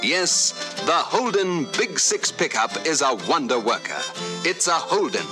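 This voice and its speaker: A male announcer delivers an old radio commercial over clear music. His voice is fairly high and lively, with plenty of pitch movement. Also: a little slow. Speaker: advertising announcer. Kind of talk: vintage radio commercial read.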